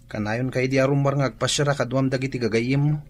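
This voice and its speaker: A man's voice: medium pitch, slight background noise, natural voice. A man playing a frank, chiding male relative in an Ilocano radio drama, with an Ilocano Filipino accent.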